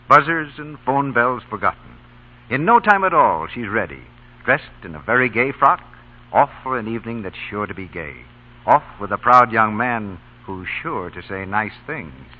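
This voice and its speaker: A man announcing and reading a commercial in scripted old-time radio style. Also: medium pitch. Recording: tinny old radio broadcast recording.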